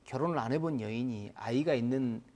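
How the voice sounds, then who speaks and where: A man's voice: medium pitch, brisk, darkish tone. A man in his forties, a guest singer, chatting playfully on a studio talk show and telling jokes. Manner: joking storyteller, playful and boastful. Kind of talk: casual talk show chat. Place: TV studio set.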